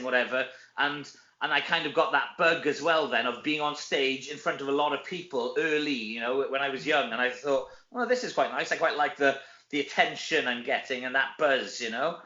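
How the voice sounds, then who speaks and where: A man's voice: mid-range voice, brisk, pushed and bright. A Welsh man chatting casually as an interview guest, self-deprecating and anecdotal, peppering his talk with conversational tags. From Wales. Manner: chatty, self-deprecating, often tags sentences with "you know". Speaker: actor and comedy performer, interview guest. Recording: roomy echo, dull lo-fi sound.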